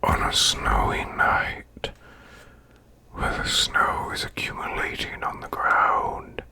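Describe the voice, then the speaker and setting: A man voicing a male demon boss in an ASMR bedtime reading, with a breathy, rasping, growled whisper and slow, deliberate storytelling. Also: lightly acted. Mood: hushed, soothing. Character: male demon boss, growling while lulling listener to sleep.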